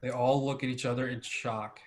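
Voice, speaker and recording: A man reads prepared narration as a presenter. His voice sits at an average pitch, with clear room echo around it. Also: little pitch movement, moderate pace. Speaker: presenter and table read narrator. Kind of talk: scripted narration. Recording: roomy echo.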